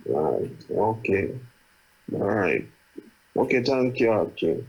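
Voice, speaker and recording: A man calling into an online group with a very deep, husky voice. He gives short, loose replies. His audio is dull and sounds far from the mic. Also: very animated, takes it slowly, darkish tone. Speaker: caller. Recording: muffled distant call audio.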